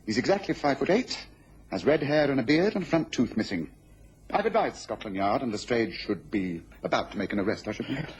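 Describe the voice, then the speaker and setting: A self-assured British gentleman detective from an old radio play. He talks fast and with certainty, swinging widely in pitch. The voice is very husky and unclear. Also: big pitch swings, lo-fi sound. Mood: pleased, assured. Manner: brisk, emphatic, certain. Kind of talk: radio drama acting.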